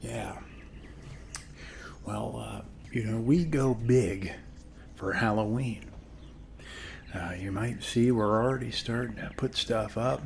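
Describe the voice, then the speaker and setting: A man speaking softly and unhurriedly in a friendly, casual ASMR roleplay, as a neighbor chatting one-on-one, with frequent pauses. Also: on the low side, vocal fry, slight background noise. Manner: soft-spoken, friendly, unhurried, pausing often. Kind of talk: ASMR roleplay, one-sided casual chat over coffee.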